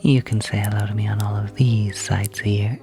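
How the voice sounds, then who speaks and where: An ASMR creator of unclear sex giving a soft, whispered introduction to a video. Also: low-pitched, flat delivery, moderate pace, gravelly, soft background music.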